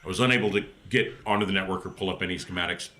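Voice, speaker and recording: A man's voice: sits low, very fast, pushed and bright. A man chatting casually as a player in a tabletop role-playing game.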